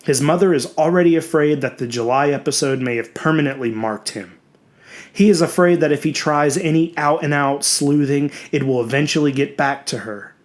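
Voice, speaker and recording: A man reading a horror story as a dramatic audiobook narrator, in a measured, expressive way with deliberate pauses. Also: a little high. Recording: music bed under the voice. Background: background music.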